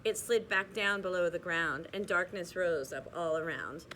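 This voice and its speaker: Young woman reading a rhyming kids book out loud, bright voice, steady bouncy rhythm, little hiss behind. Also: on the low side, moderate pace. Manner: steady rhythmic read-aloud with small slips and restarts. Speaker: reader.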